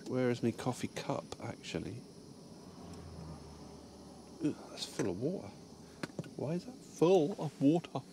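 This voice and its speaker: A man's voice: medium pitch, sing-song, measured pace, pauses often, noisy background. A man chatting casually about his day while parked in his truck cab, rambling with fillers and restarts. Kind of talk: casual vlog chat.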